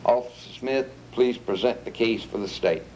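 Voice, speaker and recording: A man's voice: mid-range voice, normal speed, a little mellow, natural voice, low-quality recording. A man playing a formal, procedural traffic court judge in a scripted reenactment. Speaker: judge in a training film reenactment.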